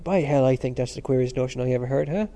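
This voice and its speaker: A man voicing a sly, gossiping young male student who talks in quick, hushed bursts. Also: medium pitch, fairly even intonation, close to an everyday voice.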